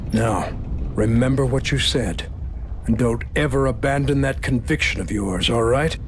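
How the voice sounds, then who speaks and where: A male actor voicing a solemn, world-weary doctor and mentor with measured delivery in a video game drama. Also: natural voice. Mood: solemn trust. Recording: clear rumbling noise behind.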